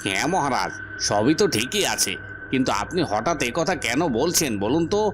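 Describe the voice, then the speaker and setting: A man's voice: medium pitch, sing-song, fairly quick, over music, natural voice. A man voicing a puzzled, reassuring palace guard in a cartoon, deferential and questioning in manner. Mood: puzzled reassurance. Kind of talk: cartoon voice acting.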